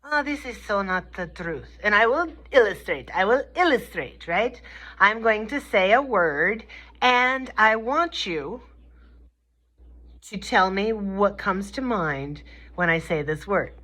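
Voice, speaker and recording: Bright-voiced folksy woman lecturer with twang. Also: medium pitch, animated, slow. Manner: folksy, playful, colloquial, checks in with listeners. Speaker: lecturer teaching a communication class. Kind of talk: informal, folksy comedic lecture.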